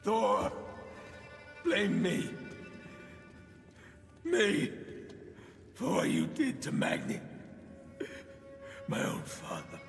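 A man playing a beaten, bitter young Norse god in a video game, gravelly, strained and labored in breath. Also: high voice, huge pitch swings, drawn-out words, pauses often, soft background music, exaggerated voice. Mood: anguished, bitter. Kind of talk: cinematic video game acting. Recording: echoey hall with long reverb.

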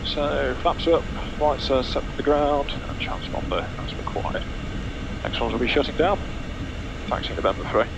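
A man's voice: mid-range voice, normal speed, gravelly, lo-fi sound. A man in his thirties giving clipped, procedural cockpit commentary and radio readbacks as a pilot on a headset mic. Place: small business jet cockpit in flight and taxiing. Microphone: aviation headset boom mic. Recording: constant aircraft cabin noise.

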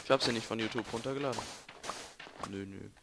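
A young man, a bit hoarse, rambling and joking to viewers while commentating his gameplay. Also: lowish voice, fast talker, noisy background. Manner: joking, rambling, self-correcting, talks to viewers. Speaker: let's play gamer.

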